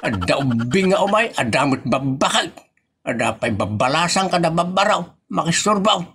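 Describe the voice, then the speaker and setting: A man's voice: on the high side, big pitch swings, normal speed, a bit bright, slightly rough, exaggerated voice. A man playing a stingy, grumbling old husband with an Ilocano accent in a comedy audio drama. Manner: complaining, exclamatory.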